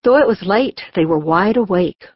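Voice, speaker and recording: A woman reading a children's storybook as an audiobook narrator, in an expressive storytelling manner. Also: medium pitch, moderate pace, breathy edge, no room sound. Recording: dull, lo-fi audiobook sound.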